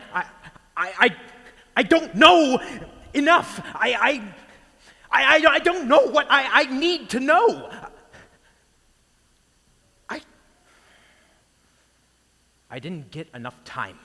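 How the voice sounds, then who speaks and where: A man playing a grieving, guilt-ridden, bewildered disciple in a theatrical monologue, speaking haltingly in broken, stammered phrases. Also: on the high side, bright, forceful tone, slightly rough, heavily acted. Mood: desperate anguish. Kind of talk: theatrical Good Friday dramatic monologue. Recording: long echoing hall reverb.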